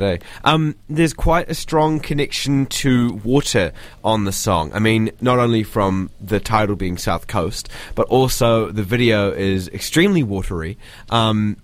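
A man hosting a casual interview, agreeable and quick to murmur assent. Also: fairly low pitch. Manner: agreeable, frequent murmured backchannels. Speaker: interviewer host.

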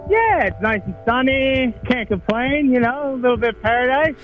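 A man's voice: extremely high pitch, lively intonation, normal speed, phone-quality sound, soft background music. A man being interviewed as a musician over a call line, easygoing and hesitant, with lots of fillers and restarts. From Canada. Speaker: musician guest. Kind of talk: casual interview answers. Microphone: remote call line.

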